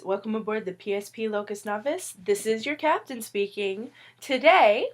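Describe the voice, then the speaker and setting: A woman who is ill with a cough gives a casual, rambling tutorial on making comics, joking at herself and a bit loopy. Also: on the high side. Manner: loopy, rambling, self-mocking. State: sick with a cough. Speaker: webcomic artist sharing tips. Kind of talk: casual tutorial talk to viewers. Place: indoors at a wooden table.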